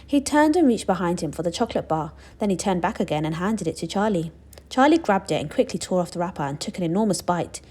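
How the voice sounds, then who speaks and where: Animated woman reading a children's story. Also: fairly low pitch, fast. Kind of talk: expressive children's read-aloud narration.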